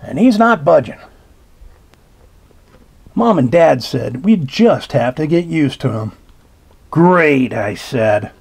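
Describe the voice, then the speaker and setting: A man reads a children's picture book aloud, taking his time and stopping to comment on the pictures. His voice has a gravelly, husky edge. It swoops up and down with lots of expression. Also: on the high side, lively intonation, slow pace, pauses often, a little mellow. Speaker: storybook reader. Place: indoors at a table.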